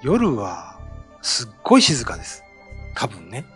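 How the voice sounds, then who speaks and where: Casting: middle-aged male host telling eerie anecdotes, lively pitch, close desk mic in a small studio. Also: unhurried, soft background music. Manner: animated storytelling, acts out sounds. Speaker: host and ghost-story writer. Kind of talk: casual talk explaining folklore. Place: small studio set with bookshelf backdrop, indoors. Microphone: desk mic on a stand.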